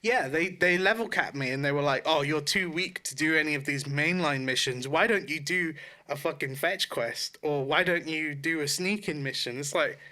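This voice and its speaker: Bright-voiced man chatting casually. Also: on the high side, moderate pace. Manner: informal, sweary. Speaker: podcast co-host. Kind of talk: casual chat about video games.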